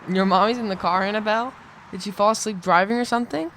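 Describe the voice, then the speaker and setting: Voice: mid-range voice, fast, fairly bright tone, slight background noise, lightly acted. A performer playing a protective, level-headed boy, a big brother, in an audio drama.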